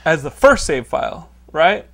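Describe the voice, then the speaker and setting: A man in his thirties hosts a podcast in a somewhat high voice. He talks in excited bursts, laughing and swearing as he jokes with his co-hosts. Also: measured pace, creaky, crowd noise. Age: 30s. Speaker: podcast host. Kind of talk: casual chat.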